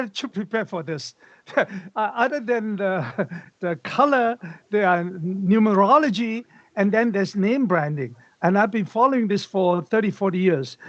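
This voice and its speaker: A man's voice: high voice, dry recording. A man speaking as a panelist in an online discussion, chatty and hesitant, often laughing as he talks, heard over a video call. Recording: slightly muffled, dull call audio.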